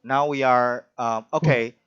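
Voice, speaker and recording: A man hosting an online performance event over a video call, talking in a halting way with many restarts. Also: medium pitch, fairly even intonation, a bit bright, clean tone, highs cut off. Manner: halting, with frequent restarts and fillers. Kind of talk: live-stream hosting, introducing guests.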